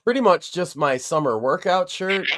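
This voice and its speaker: A man's voice: high-pitched, lively intonation, moderate pace, fairly bright tone. A middle-aged man from New England, a podcast host chatting casually, rambling and swearing freely. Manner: profane, rambling storyteller. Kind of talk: casual chat.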